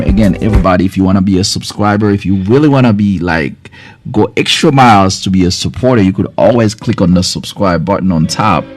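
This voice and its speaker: Man with lively pitch. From Haiti. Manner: warm, repetitive thank-yous, loose rambling phrasing. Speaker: doctor hosting a live health show. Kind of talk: live-stream chat thanking viewers. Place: his clinic. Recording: background music playing.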